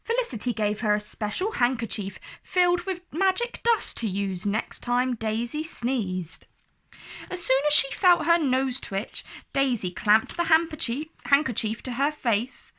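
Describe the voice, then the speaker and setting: A woman reading a children's storybook aloud in an expressive, deliberate storytelling manner. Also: high-pitched. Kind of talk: scripted storybook narration read aloud.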